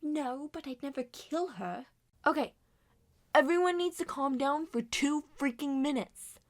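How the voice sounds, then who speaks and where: Woman, ASMR roleplay lead; slow, gentle delivery, higher voice, moves between whisper and soft speech. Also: lively intonation. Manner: soft-spoken, drifts between whispers and normal voice. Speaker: ASMR roleplay creator voicing all characters. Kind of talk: multi-character ASMR roleplay.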